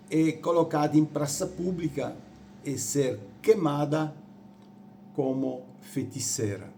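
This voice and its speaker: Slow-speaking Italian man preaching. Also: fairly high pitch, dark tone, slight background noise. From Rome, Italy. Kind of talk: sermon on church history.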